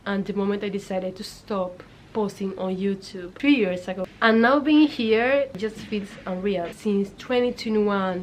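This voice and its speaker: A young woman from Senegal speaks English as a second language in a chatty, upbeat vlog to her viewers. Her voice sits at a middle pitch, and a faint hiss runs under the recording. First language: not English. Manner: chatty, upbeat, repeats for emphasis. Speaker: YouTuber. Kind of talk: casual talking-to-camera vlog.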